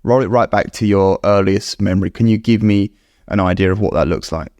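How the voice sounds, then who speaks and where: A man's voice: low-pitched, fairly quick, a little mellow, no room sound, studio quality. A man hosting a podcast, reading a scripted intro and then asking encouraging interview questions. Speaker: podcast host.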